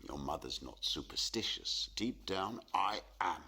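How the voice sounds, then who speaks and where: A proud, superstitious painter in a radio play. His low, husky voice broods with self-pity and swings widely between murmur and outburst. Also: animated, measured pace, bright, forceful tone, heavily acted. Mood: despairing, self-pitying. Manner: theatrical, brooding, self-pitying. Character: gloomy, superstitious, proud portrait painter and father.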